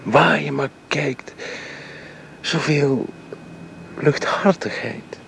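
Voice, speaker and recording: A man playing a smitten young painter, gravelly low voice, hushed whispers, radio play, faint hiss. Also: drawn-out words, full character voice. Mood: tender. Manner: soft, intimate, often whispering. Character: tender young male painter in love. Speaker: suitor Jaap. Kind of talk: radio drama acting.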